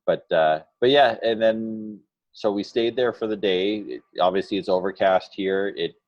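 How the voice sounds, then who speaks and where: A man's voice: fairly low pitch, moderate pace, dry recording. A man, a videographer, recounting a shoot in a matter-of-fact, casual way. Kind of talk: casual chat. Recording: slightly dull dry sound.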